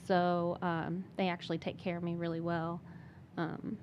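Low-voiced young woman chatting casually. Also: level tone, normal speed, a little mellow, dull audio, a little hiss or hum. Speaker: podcast interview guest. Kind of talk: casual personal chat.

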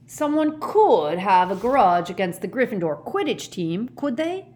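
A woman voicing a huge, shaggy, anxious man with a hoarse growl and slow rustic speech in a storybook. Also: lowish voice, animated.

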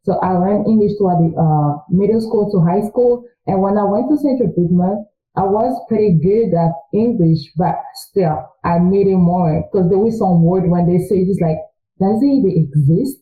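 A woman's voice: medium pitch, normal speed. A young woman from Congo whose first language is French, talking earnestly and chattily as an interview guest. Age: young adult. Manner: earnest, chatty, quoting her own thoughts aloud. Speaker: guest, recent college graduate. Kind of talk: casual interview talk. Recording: tinny phone-like line, echoey room.

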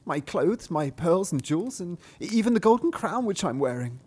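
A man voicing a spoiled young princess in a falsetto, high and loud, pleading and eager. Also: very animated, moderate pace, husky, full character voice.

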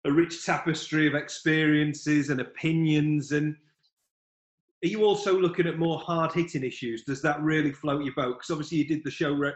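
A male interviewer asking questions in a relaxed, conversational way. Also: fairly high pitch, roomy sound, lo-fi sound.

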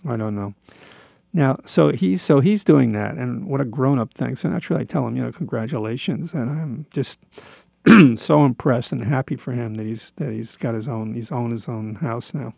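A man in his seventies talks through his thoughts in a gravelly, creaky voice at a middling pitch. His delivery is a halting, rambling personal monologue, reflective and self-deprecating. The recording is close and dry. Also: a little mellow, no echo. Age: 70s.

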